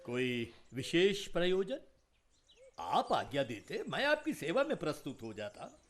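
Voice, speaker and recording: An actor playing a proud, courteous senior royal physician in a Hindi historical radio drama, speaking formally and deliberately. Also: male voice, a little high, wildly swooping pitch.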